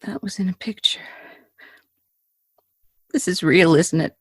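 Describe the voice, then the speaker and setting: Actress as husky, breathy 1940s film star. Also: female voice, on the low side, no room sound, exaggerated voice. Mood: shaken, hushed. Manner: slow, hushed, dramatic pauses. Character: shaken Hollywood film actress, demanding yet fragile. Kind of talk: 1940s radio drama acting.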